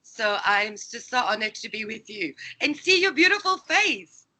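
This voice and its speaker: A woman's voice: on the high side, sing-song, normal speed, sharp tone. A woman from Greece, a native Greek speaker, talking as a life coach guest in a casual interview, in a reflective storytelling manner.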